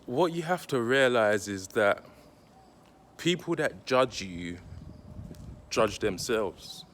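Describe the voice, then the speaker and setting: A man talking directly to his viewers, giving casual spiritual advice in a slow, deliberate, reflective way with pauses. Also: mid-range voice, lively intonation, fairly bright tone, slight background noise. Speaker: spiritual content creator.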